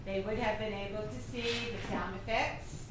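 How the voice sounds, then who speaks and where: A woman hosts a stage event, addressing the audience informally at a middling pitch. She is far from the microphone in a heavily reverberant, noisy hall. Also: steady tone, normal speed. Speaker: theater company host giving welcome speech. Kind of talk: informal live stage announcement. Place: theater, addressing audience from afar. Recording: very echoey hall, distant, noisy room.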